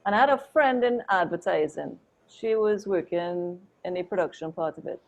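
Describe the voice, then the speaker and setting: This is a woman guesting on an interview, sounding chatty and animated as she recounts her career. Her voice sits somewhat low. The audio is a bit muffled, like a lo-fi video call. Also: normal speed, vocal fry. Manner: chatty and animated, peppered with "like" and "oh my God". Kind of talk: casual storytelling interview.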